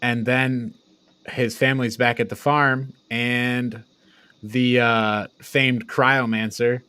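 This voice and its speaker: A man's voice: medium pitch, flat delivery, slow pace, bright, forceful tone, smooth. Casual, hesitant man with mid-range voice. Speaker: co-host of a movie review show. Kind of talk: casual chat, recapping film scenes.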